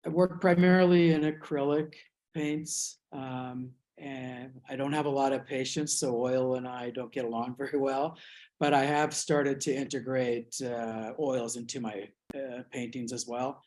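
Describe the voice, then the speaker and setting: A man from the United States, an artist reflecting on his work in an interview, speaking thoughtfully with many pauses and restarts. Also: mid-range voice, fairly even intonation, darkish tone.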